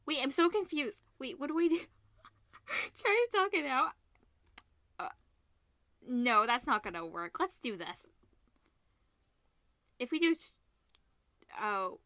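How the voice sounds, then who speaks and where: A woman's voice: high-pitched, animated, slow pace, long pauses, bright, forceful tone, dry recording. A woman doing a casual let's-play, reading a visual novel aloud with amused, wry asides. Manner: amused, wry asides between read passages.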